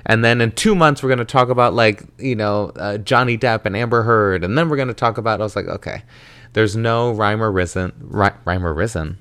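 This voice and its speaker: Man, 30, from the American South, solo podcast host; low, smooth, dry-miked, chatty and dramatic. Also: fairly quick, no room sound. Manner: chatty, animated, self-dramatizing with frequent tangents.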